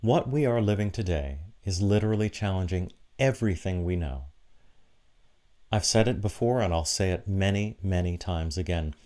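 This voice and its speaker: A man delivering a prepared, reflective sermon with measured, deliberate phrasing and short pauses for emphasis. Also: low voice, mellow. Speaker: preacher giving a sermon for an online church service.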